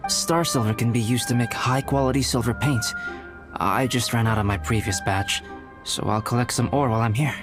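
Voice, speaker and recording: A man voicing a calm, aloof young artist-scholar in an anime fantasy game, speaking in a measured, formal, articulate way. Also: medium pitch, close to an everyday voice. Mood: explanatory. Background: game music.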